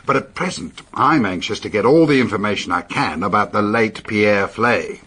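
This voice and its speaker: Husky, lively British police inspector. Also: male voice, on the low side, normal speed. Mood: businesslike. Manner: matter-of-fact, authoritative. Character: brisk, businesslike male police detective inspector. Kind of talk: radio drama acting.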